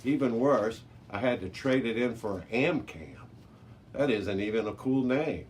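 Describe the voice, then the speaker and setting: A man's voice: medium pitch, lively intonation, unhurried, a little mellow, pitched above the performer's own voice, natural voice. Man reading as an outspoken young girl in a storybook. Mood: grumbling. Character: outspoken, joking young girl on an adventure team. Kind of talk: children's storybook character voice. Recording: noisy amateur recording with background hiss.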